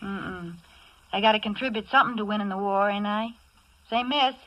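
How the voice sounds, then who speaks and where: An actress playing a soft-hearted, wisecracking Broadway chorus girl in brassy, slangy 1940s radio style, on a vintage recording. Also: mid-range voice, a little fast, lightly acted, poor audio. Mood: softened, friendly. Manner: wry wisecracks in streetwise slang.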